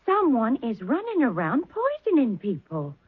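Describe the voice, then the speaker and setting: A woman's voice: high-pitched, wildly swooping pitch, moderate pace, breathy edge, dry recording, full character voice. An actress playing a fussing, homely mother who spoils her grown daughter. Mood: doting. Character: doting, chatty mother. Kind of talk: 1970s radio drama acting. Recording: tinny old radio broadcast.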